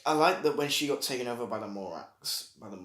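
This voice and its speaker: A sarcastic man co-hosting a podcast, with a mid-pitched everyday voice. He grumbles, swears and trails off mid-sentence. The room around him is echoey. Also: expressive, fast, a bit bright. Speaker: podcast co-host. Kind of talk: casual review chat.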